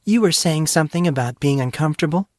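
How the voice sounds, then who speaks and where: Male narrator as a proud young woman, audiobook character, sharp-tongued, challenging, lifted pitch. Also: animated, quick pace, dry recording, studio quality, lightly acted. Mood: indignant. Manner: indignant, sarcastic, challenging.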